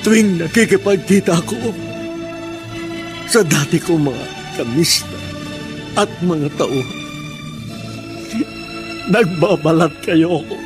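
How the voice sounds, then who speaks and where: A man playing a lonely, heartbroken older widower in a Filipino radio drama, speaking in a halting, sorrowful way. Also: fairly high pitch, animated. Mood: tearful, mournful. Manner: slow, halting, sorrowful delivery with repeated phrases. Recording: music under the voice.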